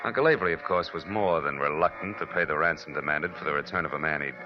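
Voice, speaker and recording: A deep-voiced, tough detective tells his story with dry wit in 1940s radio style. The recording is muffled and old, with music clearly running underneath. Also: fast. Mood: dry, watchful. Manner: terse, wry, tough. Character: hard-boiled, wisecracking male private detective. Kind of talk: 1940s radio noir narration.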